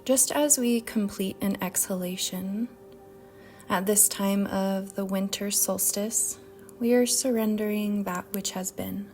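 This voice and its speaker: A woman leads a guided meditation in a soft voice that slips toward a whisper at its edges. Her delivery is slow and very even in pitch, gentle and measured, leaving long pauses over a bed of music. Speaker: yoga nidra meditation guide.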